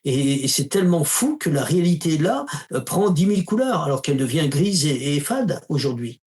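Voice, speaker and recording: A man with a fairly high voice talks casually in an interview. He is a spiritual teacher who tells stories, acting out the dialogue with lively asides. His tone stays informal and direct. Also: normal speed. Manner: storytelling, acts out quoted dialogue with emphatic asides; uses informal tu to the listener.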